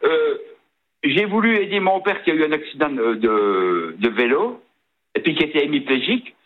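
A man in his sixties with a regional eastern French accent, calling in to a late-night radio show. His voice sits a bit high for a man and comes through a thin, tinny phone line. He talks haltingly and fills his pauses with "euh". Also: sing-song. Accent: Vosges French. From Vosges, France. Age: 60s. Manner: hesitant, many fillers and restarts. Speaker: caller.

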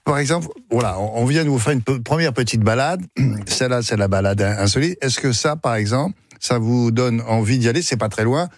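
A man's voice: medium pitch, a little fast, no echo. A man hosting a radio show, chatting and teasing his guests with many hesitations. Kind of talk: relaxed studio radio chat. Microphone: studio microphone.

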